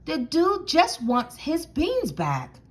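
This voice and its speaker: A woman voicing a small, matter-of-fact Mexican chihuahua sidekick in a children's storybook, speaking deliberately. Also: sits high, wildly swooping pitch, voice pushed up, heavily acted. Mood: explaining.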